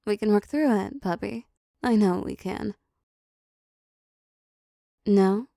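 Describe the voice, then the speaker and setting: A seductive, possessive female demon played by a woman speaks slowly and closely in a dark, mellow voice with a creaky edge. She is teasing and controlling, doling out condescending pet names as in an intimate audio roleplay. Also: mid-range voice, no echo, studio quality. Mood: coaxing, menacing. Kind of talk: intimate roleplay audio drama, addressing the listener.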